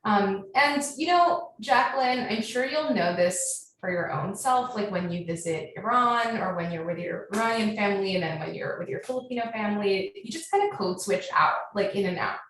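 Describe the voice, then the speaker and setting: A woman's voice: mid-range voice, normal speed, hardly any pauses. American woman chatting in a very echoey room. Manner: animated storytelling with frequent "like". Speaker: author, guest speaker. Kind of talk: casual question-and-answer chat. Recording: very echoey, distant, faint noise.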